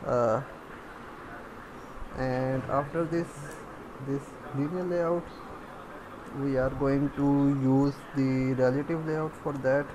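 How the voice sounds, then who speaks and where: A man instructing step by step in a screen tutorial, thinking aloud in halting, pieced-together phrases. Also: medium pitch, background noise.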